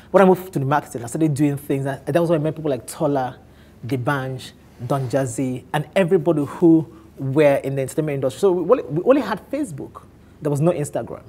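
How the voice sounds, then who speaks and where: A man in his thirties from Nigeria with an Igbo accent, telling his life story candidly on a talk show, animated and often laughing. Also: a little high, slightly rough. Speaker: guest on a men's talk show.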